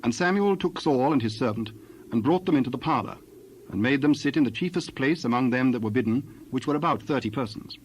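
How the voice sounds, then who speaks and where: A man narrating dramatized scripture in a formal, measured storytelling style. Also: medium pitch, expressive, slight background noise, rough recording.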